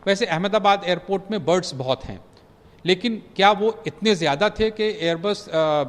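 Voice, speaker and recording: Slow, halting former pilot with a high voice. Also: male voice, long reverb tail. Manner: measured, halting, many fillers, mixes English phrases. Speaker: former pilot giving expert analysis. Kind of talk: news commentary interview.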